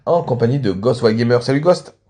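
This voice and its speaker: A man's voice: medium pitch, animated, fairly quick, mellow. A man hosting and casually commentating on video game matches, slightly stuffed up with a cold. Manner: frequent throat clearing and coughing.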